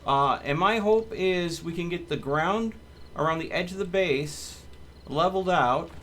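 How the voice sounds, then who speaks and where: A man's voice: high voice, fairly bright tone, slight background noise. A man hosting a gaming channel, giving casual commentary as he plays. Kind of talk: casual live gameplay commentary.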